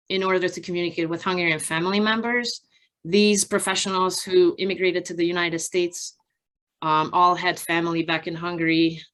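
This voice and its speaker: A woman researcher giving an academic presentation from slides in a measured, halting, explanatory way with frequent pauses. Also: fairly low pitch, fairly bright tone. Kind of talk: conference research presentation, partly improvised from slides.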